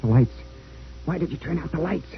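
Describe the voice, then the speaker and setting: An actor playing an uneasy, secretive stepson with a husky voice and halting delivery in an old-time radio drama. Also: mid-range voice, animated, slight background noise, close to an everyday voice. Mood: frightened. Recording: muffled old radio recording.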